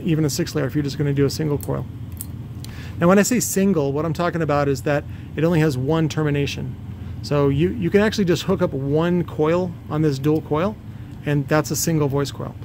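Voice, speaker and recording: Higher-pitched man, blunt workshop explainer. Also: noisy background. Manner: blunt, opinionated, salty-tongued. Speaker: presenter, loudspeaker builder. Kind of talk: casual off-the-cuff technical explanation. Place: cluttered indoor workshop.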